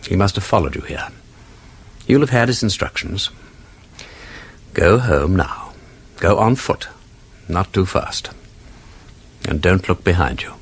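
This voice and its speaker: An actor playing an urbane, composed gentleman detective with an upper-class English accent, polite and understated, in a radio drama. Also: low voice, animated, a little slow, long pauses, slightly rough, heavily acted. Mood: quietly solemn.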